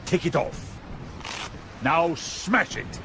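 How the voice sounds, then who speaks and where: An actor playing a smug, menacing politician villain with a smooth, mock-polite manner in an audio drama. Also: male voice, on the high side, animated, drawn-out words, background noise. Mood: smug menace.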